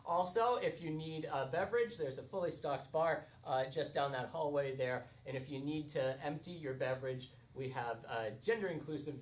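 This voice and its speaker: A man's voice: on the high side, normal speed, hardly any pauses. An American man hosting a live improv show, giving a casual, joking introduction to a theatre audience. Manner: wry, joking. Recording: noisy live theatre stage recording.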